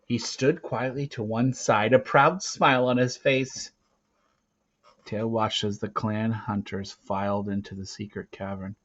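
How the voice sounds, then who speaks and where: A man narrates a storybook in a lowish voice at a very slow pace. His delivery is dramatic and expressive. The recording is somewhat dull and lo-fi. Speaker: reader of a children's novel.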